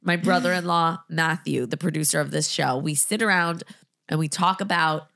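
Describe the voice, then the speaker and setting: Hoarse, nasal woman podcast host. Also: sits low, a bit bright. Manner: chatty, self-deprecating, quick asides. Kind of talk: casual chat.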